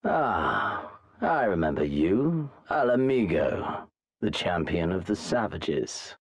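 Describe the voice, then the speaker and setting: An actor playing an arrogant, bored imperial warrior in a game, with haughty, mocking, formal old-fashioned speech. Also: male voice, sits low, very animated, slow, heavily acted. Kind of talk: dramatic game cutscene acting.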